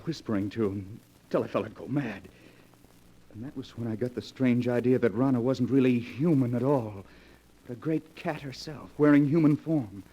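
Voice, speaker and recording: An actor playing a tormented, exasperated husband in a 1940s radio melodrama, brooding and earnest. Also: male voice, fairly low pitch, warm tone, lightly acted. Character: tormented, exasperated husband recounting his nightmare marriage. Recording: noisy vintage 1940s radio recording.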